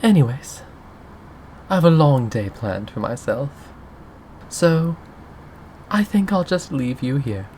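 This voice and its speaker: A man's voice: mid-range voice, sing-song, takes it slowly, pauses often, dark tone, slight background noise. A man playing a possessive, mocking yandere captor in an ASMR roleplay, with a drawling, theatrical, condescending manner. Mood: mocking, smug. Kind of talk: scripted ASMR roleplay audio drama.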